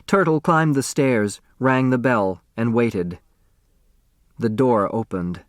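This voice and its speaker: Man, audiobook narrator, dramatic storytelling, unhurried pace, dry close recording. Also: mid-range voice, no echo.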